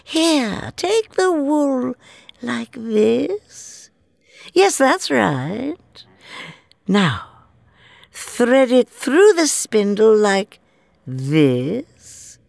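A woman's voice: fairly high pitch, big pitch swings, extremely slow, creaky, a little mellow, no echo, clean studio sound, heavily acted. A woman playing a sly wicked fairy posing as an old crone. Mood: coaxing. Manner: sly, coaxing, sinister whispers. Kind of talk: audio drama acting.

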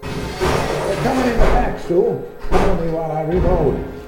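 A curt, commanding diner man, as an actor plays him in a radio play, shouting orders while loud music swells behind. The voice rings in a roomy space. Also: high-pitched, measured pace. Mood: urgent. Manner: barking, commanding.

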